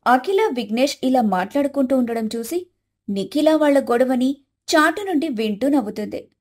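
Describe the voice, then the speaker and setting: A woman reading scripted narration for an animated moral story. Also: high voice, moderate pace.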